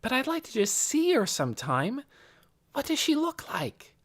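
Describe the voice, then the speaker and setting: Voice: high voice, huge pitch swings, normal speed, voice pushed up, heavily acted. A narrator voicing an eager young girl in an audiobook, earnest, polite and full of questions. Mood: pleading, eager. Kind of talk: audiobook character voice.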